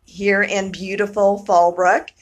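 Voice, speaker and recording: A woman hosting a local vlog, interviewing in an art gallery and reacting warmly, recorded on a phone camera. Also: mid-range voice, flat delivery, takes it slowly. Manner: warm, encouraging, short reactions. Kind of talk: casual interview.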